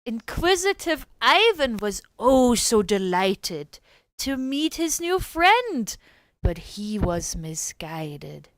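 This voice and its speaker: A woman narrates a dark storybook in verse with theatrical suspense. Her voice is high and smooth, swinging expressively, and the recording is close and dry. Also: big pitch swings, slow pace, no room sound. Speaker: voice actress.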